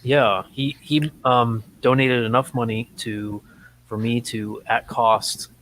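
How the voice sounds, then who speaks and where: A man co-hosting a casual, unscripted talk show, speaking in a halting way with frequent ums and pauses. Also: mid-range voice, steady tone, a bit bright, slight background noise, low-quality recording.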